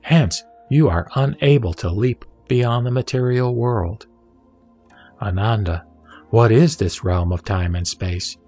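A man reciting Buddhist scripture as scripted narration, formal and measured, in short deliberate phrases. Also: on the low side, mellow. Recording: background music under the voice. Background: music.

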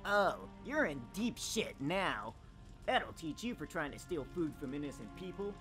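A woman's voice: low voice, sing-song, a little slow, fairly bright tone, exaggerated voice. A woman voicing a cocky, preachy male cartoon hedgehog in an amateur comic dub, smug and lecturing, over music. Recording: background music under the voice.

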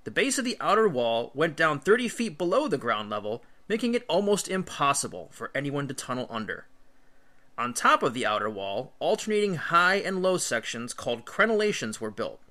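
A man's voice: a little high, very animated, moderate pace, pushed and bright. A male teacher reading an illustrated history book aloud, with emphatic, instructional phrasing.

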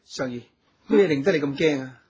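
A tender, solicitous hunter and new husband in a radio drama. His Hong Kong Cantonese voice is extremely husky and a little high for a man, earnest and caring. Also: brisk, darkish tone, lo-fi sound. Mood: caring, coaxing.

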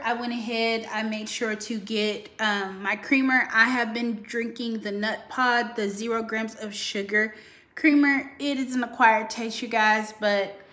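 A woman's voice: on the high side, a bit bright. A woman in her thirties chatting casually to viewers in a grocery haul vlog, filmed in her home kitchen. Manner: chatty, addresses viewers directly. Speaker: vlogger. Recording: echoey kitchen with faint noise.

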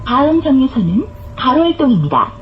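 High-pitched woman making formal bus announcements. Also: sing-song, brisk, lo-fi sound. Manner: formal, carefully enunciated. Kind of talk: scripted public transit announcement. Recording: clear vehicle noise throughout. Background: bus interior noise.

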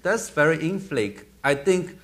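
A man in his thirties with a Burmese accent, a film director answering audience questions on a theater stage, halting and often restarting as he searches for words. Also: a little high, expressive, fairly bright tone. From Myanmar.

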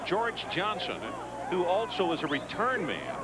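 A man calling a televised college football game play by play, in a steady, informative broadcaster delivery. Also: high voice, measured pace, heavy background noise. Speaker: play-by-play announcer.

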